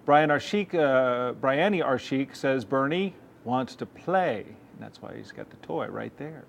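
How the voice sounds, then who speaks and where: A man's voice: mid-range voice, expressive, a little slow, gravelly, a little hiss or hum. An American man co-anchoring morning TV news, moving between reading stories and casual banter.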